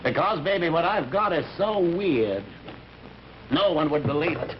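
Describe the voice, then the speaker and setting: An actor with an American accent playing a weary, brooding police detective, terse and frustrated, in old-time radio drama style. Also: male voice, on the high side, animated, measured pace. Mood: earnest, brooding. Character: weary, brooding male police detective, obsessed with his case. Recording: dull, noisy old radio recording.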